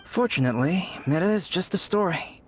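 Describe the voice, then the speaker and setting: An actor playing a calm, pensive young alchemist in a game, speaking in a grave, deliberate, storytelling way over music. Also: male voice, sits high, very noisy. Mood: calm, reflective. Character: calm, pensive young male alchemist telling a dark tale. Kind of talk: measured game dialogue storytelling. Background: background music.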